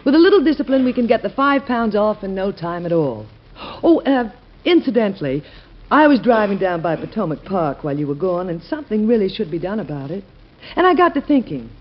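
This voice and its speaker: Brisk, lively radio First Lady. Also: mid-range voice. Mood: coaxing. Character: firm, affectionate First Lady, the President's wife. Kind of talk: 1940s radio acting. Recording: dull old radio recording.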